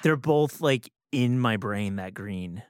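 A man's voice: on the low side, animated, slow pace, clean tone, no echo, studio quality. A man hosting a podcast, interviewing in an informal, engaged, conversational way.

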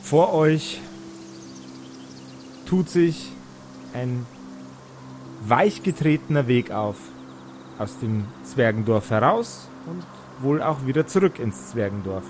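A man narrating an improvised tabletop fantasy game as game master, in halting, deliberate phrases. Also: medium pitch, animated, a little mellow, slight background noise. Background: background music.

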